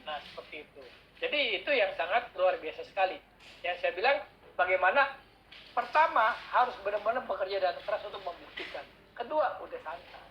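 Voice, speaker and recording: A man giving a casual workshop talk as guest speaker, chatty and animated, telling anecdotes in a slangy way. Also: sits high, brisk, muffled recording, a little hiss or hum. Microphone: online call mic.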